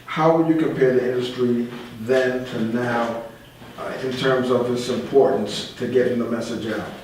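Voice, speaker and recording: A man's voice: mid-range voice, fairly even intonation, moderate pace, darkish tone. Distant, echoey male interviewer. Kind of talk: conversational interviewing. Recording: echoey noisy room, far from mic.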